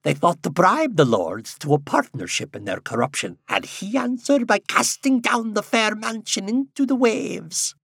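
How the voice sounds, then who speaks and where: A man voicing a frail, excitable, pious old seaside man in a story, with archaic rustic speech and drawn-out exclamations. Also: high voice, gravelly, slightly rough, no room sound, studio quality, voice pushed up, full character voice. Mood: ominous, preachy.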